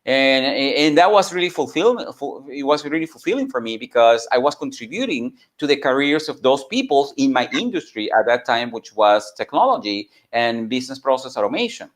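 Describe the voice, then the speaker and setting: A man from Venezuela, a native Spanish speaker with a Venezuelan accent, telling his story informally as an entrepreneur and mentor, in an earnest, halting way that repeats phrases. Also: mid-range voice, big pitch swings. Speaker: entrepreneur and mentor giving a talk.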